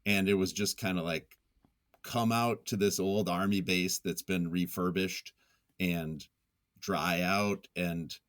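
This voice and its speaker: A man's voice: sits low, fairly even intonation, slow, a bit bright. A man being interviewed as a writer, speaking in a thoughtful, hesitant, self-effacing way in reflective conversation. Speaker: interview guest, nonfiction writer.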